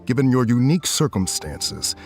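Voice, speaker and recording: A man's voice: medium pitch, animated, normal speed, natural voice. A man playing a stern commission officer in a video game, speaking in a formal, authoritative way. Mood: measured, lenient. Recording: music under the voice.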